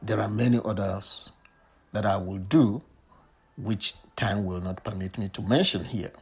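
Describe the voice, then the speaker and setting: A Nigerian man in his fifties, with Eloyi as his mother tongue, speaks English with a Nigerian accent. His voice is low and rough-edged as he delivers a prepared academic answer. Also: measured pace. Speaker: professor of literature.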